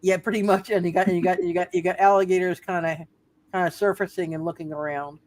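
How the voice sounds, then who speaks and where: A woman running an improvised tabletop roleplay as game master, halting and often repeating phrases as she narrates. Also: fairly low pitch, steady tone, a little mellow.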